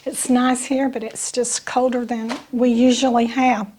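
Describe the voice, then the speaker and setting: A woman with a Southern US accent and a slightly raspy, bronchial voice, chatting casually as she talks through a home cooking demonstration. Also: a little high, flat delivery, unhurried, darkish tone, slight background noise. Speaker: host of a home cooking channel.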